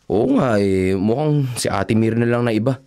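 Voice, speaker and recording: A man's voice: mid-range voice, big pitch swings, moderate pace, gravelly, dark tone. A man playing a wry, gossipy brother in a radio drama, with a teasing, sardonic manner.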